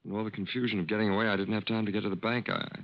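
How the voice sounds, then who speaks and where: An actor playing a courtly, sentimental middle-aged con artist who poses as a Major, in 1940s radio style. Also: male voice, on the low side, steady tone, rapid-fire, lightly acted. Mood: courtly, wistful. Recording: muffled old-time radio recording.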